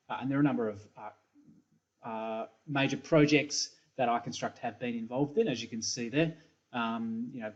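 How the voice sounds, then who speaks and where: A middle-aged man with an Australian accent giving a recorded product presentation from a small room, full of ums and repeated words. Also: mid-range voice. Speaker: software company principal presenting. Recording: slightly dull webcam audio.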